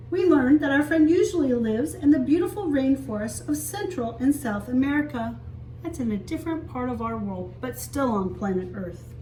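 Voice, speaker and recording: Female story time teacher, higher pitch, expressive read-aloud with questions for little kids; roomy, echoing sound. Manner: expressive, explains and asks simple questions for young children. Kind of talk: read-aloud picture book storytelling with asides to children. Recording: echoey room, distant mic, faint hiss.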